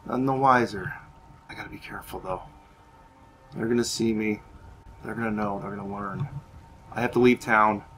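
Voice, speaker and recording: A man's voice: on the low side, steady tone, moderate pace, long pauses, fairly bright tone, a little hiss or hum, natural voice, poor audio. A man voicing a hungry, scheming vampire necromancer in a video game roleplay, musing in a sinister way. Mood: brooding, wary.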